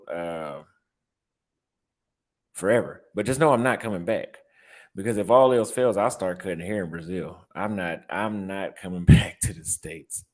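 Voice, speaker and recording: A young man with a Texas accent, chatting casually in a vlog and reacting aloud as he browses apartment listings, repeating his phrases. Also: on the low side. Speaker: vlogger and barber.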